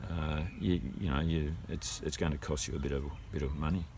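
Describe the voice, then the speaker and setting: Very deep-voiced older Australian man. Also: steady tone, a little fast, darkish tone, a little hiss or hum. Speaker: homeowner and gardener showing his water tanks. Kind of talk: casual explanatory talk.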